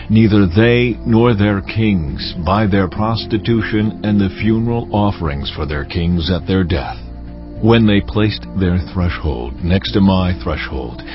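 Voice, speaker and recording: An actor playing God in a dramatized scripture reading, solemn, deliberate and commanding as he instructs his prophet. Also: low-pitched. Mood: stern, grieved. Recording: dull sound over music bed.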